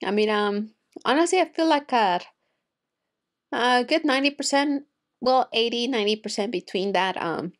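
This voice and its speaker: A woman's voice: a little high, expressive, normal speed. A young woman talks casually to her viewers, rambling and restarting her sentences. She is still getting over an illness and has a slight cough. She is recorded at home on a desk microphone. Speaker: anime reaction youtuber. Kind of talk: casual chat to camera. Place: home room with shelves of figures and manga. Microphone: desk mic on boom arm in front.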